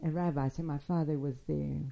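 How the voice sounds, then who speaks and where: An older woman telling her life story in a halting, digressive way, with frequent restarts and fillers. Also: low-pitched, steady tone, gravelly, dark tone. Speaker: interviewee recounting childhood. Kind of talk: spoken memoir, oral history.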